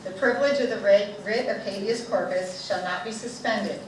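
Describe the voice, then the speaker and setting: In a very echoey, noisy space, a woman reads a legal text aloud. Her voice is medium in pitch and the delivery is formal. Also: moderate pace. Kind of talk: formal public reading of a legal document. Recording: noisy, echoey event, distant mic.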